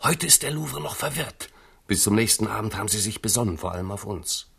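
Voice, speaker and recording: A man's voice: fairly low pitch, animated, a little fast, vocal fry, fairly bright tone, husky, heavily acted. An actor playing a young king's loyal, scheming companion, insistent and rhetorical, in a radio play. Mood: urgent. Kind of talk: 1970s radio play acting.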